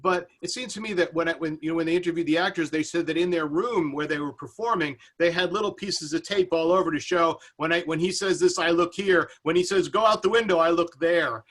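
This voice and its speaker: A man with a slightly high, bright, forward voice talks casually as a seminar panelist. He rambles, working his thoughts out aloud as he goes. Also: fairly quick, hardly any pauses. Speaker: seminar panelist asking a question. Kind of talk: casual discussion on a video call. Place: video call.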